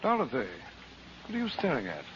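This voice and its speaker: An actor playing a firm, reassuring uncle and heroic magician in a 1940s radio drama, speaking tersely. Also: male voice, on the high side, huge pitch swings, a little fast. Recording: noisy muffled 1940s radio recording.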